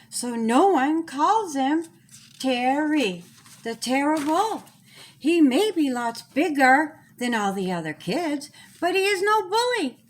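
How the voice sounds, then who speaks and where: Slow, high-pitched woman reading a children's story. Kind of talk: rhyming children's storybook narration.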